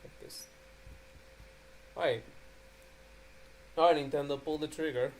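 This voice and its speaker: A man's voice: fairly high pitch, steady tone, a little slow, darkish tone, slight background noise. A man hosting a live reaction stream, chatting casually and animatedly with friends. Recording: music playing behind the voice. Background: background music.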